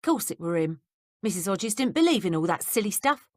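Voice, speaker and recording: A woman's voice: mid-range voice, big pitch swings, brisk, no echo, clean studio sound, lightly acted. A woman voicing a sly, gossipy young male servant with blunt working-class speech. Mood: confiding.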